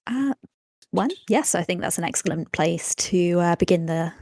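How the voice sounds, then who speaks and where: A woman playing a tabletop game, describing a scene off the cuff in a thoughtful, halting way. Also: lowish voice, lively intonation, a little mellow, no echo.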